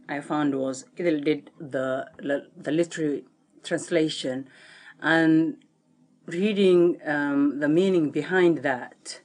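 A woman on a translation panel speaks slowly and deliberately. She searches for words and repeats herself as she goes. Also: low-pitched, a little mellow.

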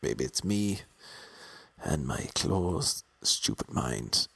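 A man's voice: extremely low pitch, very animated, very slow, creaky, a bit bright, slightly rough, no echo. A young man with an Irish accent telling wry, self-mocking stories about his auditions in a casual monologue. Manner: wry, self-mocking, playfully imitating others.

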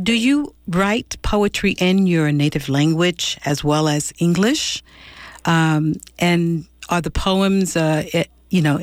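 A radio host speaks in a mid-pitched voice from a studio, where the sound is dry and close. They lead the interview chattily and hesitantly, stumbling over their words. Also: no echo.

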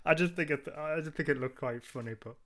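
A man co-hosting a podcast in casual review chat, rambling haltingly and chuckling as he goes. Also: on the high side. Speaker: podcast co-host.

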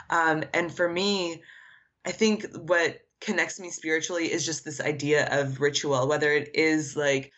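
A woman's voice: low voice, a bit bright, dull audio. A woman artist whose first language is Arabic, answering interview questions in an earnest, reflective way, with frequent "like" fillers. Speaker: artist guest.

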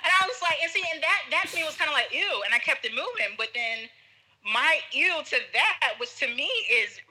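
Woman, podcast guest, very bright voice, animated storytelling, acts out quotes. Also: high-pitched, fairly quick, poor audio. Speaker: guest, travel blogger. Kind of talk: casual storytelling.

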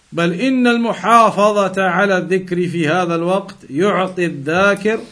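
Higher-voiced man teaching a religious lesson. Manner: didactic, repeats and rephrases phrases for emphasis. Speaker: religious teacher reading and explaining an Islamic text. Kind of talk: religious lesson, reading aloud then explaining.

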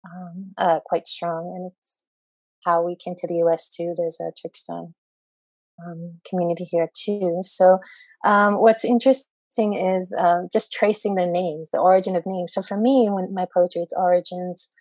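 Soft-voiced hesitant woman, flat and dark. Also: lowish voice, moderate pace, no room sound, rough recording. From grew up in Saudi Arabia and the US. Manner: hesitant, many fillers and restarts. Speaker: guest poet. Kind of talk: panel discussion.

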